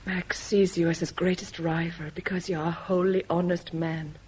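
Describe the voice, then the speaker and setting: A woman's voice: lowish voice, little pitch movement, moderate pace, a little mellow, natural voice. A woman playing an enigmatic, proud lover in a radio drama, with a cool, theatrical intensity. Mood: cool, challenging. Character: enigmatic, proud woman caught between two rival men. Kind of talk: 1970s British radio drama acting. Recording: faintly noisy vintage radio broadcast.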